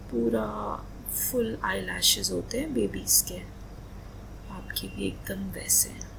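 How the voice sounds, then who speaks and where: A woman playing a loving, playful wife in a romantic roleplay ASMR, speaking softly and breathily in an intimate, coaxing manner, often slipping into whispers. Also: mid-range voice, gravelly, noisy background, exaggerated voice. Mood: playful, affectionate. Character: loving, emotional, playful young wife doting on husband.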